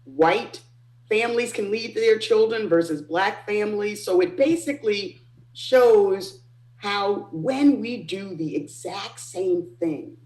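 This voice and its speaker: A woman's voice: medium pitch, slow, a little mellow. A woman author explaining ideas on a panel, making emphatic points in parallel phrases. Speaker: author discussing own book. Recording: roomy, echoing sound.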